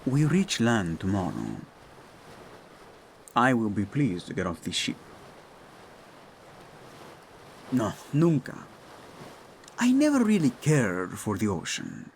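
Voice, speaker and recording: A man performs a doting, playful king in a romantic audio drama. His voice has a gravelly edge and moves unhurriedly through very animated rises and falls. He speaks intimately, like a husband to his beloved. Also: on the low side, a little hiss or hum, exaggerated voice. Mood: wistful, teasing. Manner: tender, playful, unhurried endearments. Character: devoted, teasing Spanish-speaking king and husband.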